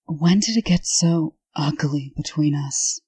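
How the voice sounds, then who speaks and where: A prim, commanding woman in charge played by a voice actress speaks in a low, dark voice. She is formal and scolding, an exasperated sister in a comic dub, recorded very dry. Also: unhurried, breathy edge, no echo. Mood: hurt.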